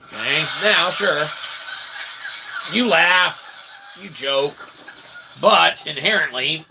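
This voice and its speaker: A man's voice: medium pitch, vocal fry, bright, forceful tone, husky, rough recording. A man delivering a rambling, joking philosophical monologue to camera as a channel host, with convoluted pseudo-formal phrasing, repetition and casual swearing. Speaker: host of his own channel. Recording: noticeable background noise.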